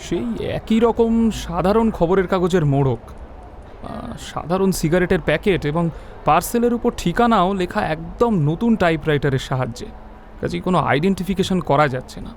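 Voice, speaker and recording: A man's voice: high voice, lively intonation, moderate pace, a little hiss or hum. A man playing a deferential, earnest police officer in an audio drama. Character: deferential police officer reporting to his chief.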